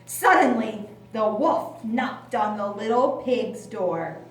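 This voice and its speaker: A woman narrating a children's puppet show in an expressive storytelling style with dramatic emphasis. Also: medium pitch, takes it slowly. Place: library room, recorded from a distance. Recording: very echoey room, distant, loud noise.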